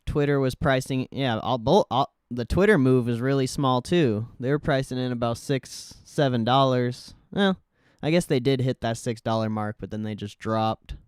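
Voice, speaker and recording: A man's voice: mid-range voice, normal speed, few pauses, smooth, no room sound, clean studio sound. A man hosting a livestream, giving casual market commentary in informal, slangy, sweary speech. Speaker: livestream host.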